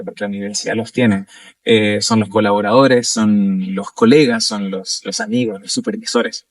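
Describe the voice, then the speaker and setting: A man's voice: mid-range voice, no room sound, distant mic. A young man from Chile with a Chilean Spanish accent, talking as an interview guest in a casual, hesitant way with many fillers and restarts. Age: young adult. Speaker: interview guest, doctoral student.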